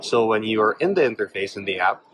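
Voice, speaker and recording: A man's voice: fairly low pitch, expressive, fast talker, fairly bright tone, a little hiss or hum, rough recording. A man presenting a product demonstration in an explanatory way.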